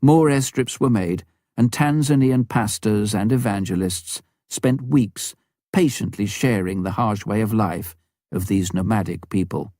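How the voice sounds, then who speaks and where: A man reading a missionary story as an audiobook narrator, with a measured storytelling delivery. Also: lowish voice, mellow, no room sound, clean studio sound.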